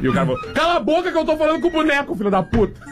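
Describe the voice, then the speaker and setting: A high, lively man's voice, telling a rambling joke story in slow, drawn-out phrases. He laughs at his own tale while music plays under the studio banter. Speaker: radio show co-host.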